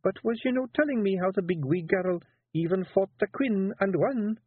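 A man voicing a young Scottish fairy clan wife who speaks in a dangerously sweet, measured way. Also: sits high, slightly rough, no room sound, pitched above the performer's own voice. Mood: thoughtful. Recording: tinny phone-like audio.

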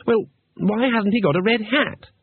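A man's voice: sits high, moderate pace, pitched above the performer's own voice. A man putting on a fussy, naive tourist. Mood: insistent. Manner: insistent, prim and earnest. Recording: husky, narrow phone-like sound.

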